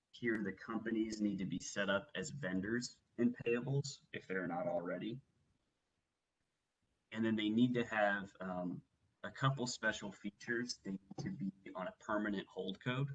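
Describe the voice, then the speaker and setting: A man working methodically through a software walkthrough as a webinar trainer, explaining each step. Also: fairly low pitch, slightly rough, muffled recording. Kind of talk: instructional software walkthrough, semi-scripted presentation.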